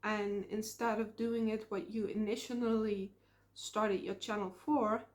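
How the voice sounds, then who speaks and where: A young woman with a Dutch accent, talking to camera in a casual vlog, earnest and reflective, often pausing on fillers. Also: mid-range voice, level tone. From Netherlands. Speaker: small YouTube creator.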